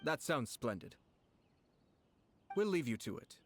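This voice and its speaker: A calm, refined young nobleman. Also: mid-range voice, soft background music. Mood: polite. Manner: calm, measured, genteel. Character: composed young male noble, clan head. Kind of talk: video game voice acting.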